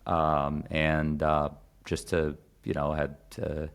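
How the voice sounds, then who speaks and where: A man's voice: extremely low pitch, monotone, slow pace. A man in his thirties from Egypt, a podcast guest talking casually with lots of fillers and restarts. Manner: rambling, many fillers and restarts. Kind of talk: casual conversation.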